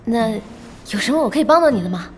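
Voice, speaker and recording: An actress playing an excitable, blunt young woman who runs an internet cafe in an audio drama, expressive and exclaiming. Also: a little high, fast talker, soft background music, lightly acted. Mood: earnest.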